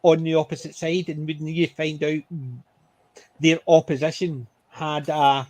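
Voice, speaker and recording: A man's voice: a little high, little pitch movement, measured pace, darkish tone, no echo. Joking Scottish man, dry close sound. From Scotland. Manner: joking, self-deprecating, chatty. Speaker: podcast co-host. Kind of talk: casual group chat.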